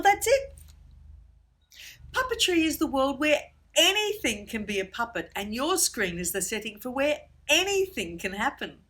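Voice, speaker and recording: A woman presenting an instructional workshop for children in a theatrical, expressive storyteller's way. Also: mid-range voice, breathy edge.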